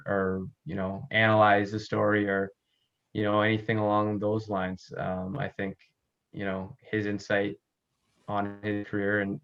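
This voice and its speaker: A man's voice: lowish voice, muffled recording. A young man chatting casually as a podcast co-host, recorded at home. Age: young adult. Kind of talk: casual chat.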